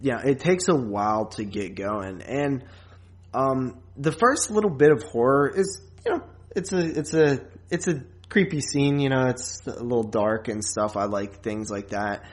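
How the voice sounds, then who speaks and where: A man's voice: medium pitch, moderate pace. A man co-hosting a podcast, casually reviewing a film in a rambling, loose way with plenty of filler and some swearing. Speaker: podcast co-host.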